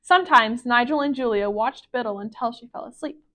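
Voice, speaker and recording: High-pitched woman reading a picture book. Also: a little fast, bright, forceful tone. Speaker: library storyteller. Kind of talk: children's picture book read-aloud.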